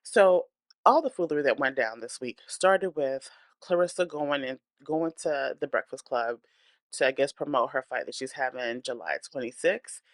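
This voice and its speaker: A woman's voice: rumbling low, moderate pace, no room sound. A woman hosting a celebrity gossip channel, speaking in a blunt, opinionated, chatty way while congested from a cold and breathing hard. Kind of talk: casual celebrity gossip commentary.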